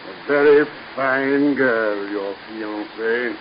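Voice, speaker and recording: An actor playing a suave, menacing middle-aged doctor in an old-time radio drama, formal, deliberate and icily polite. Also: male voice, medium pitch, natural voice. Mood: smoothly polite. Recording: muffled, hissy old radio recording.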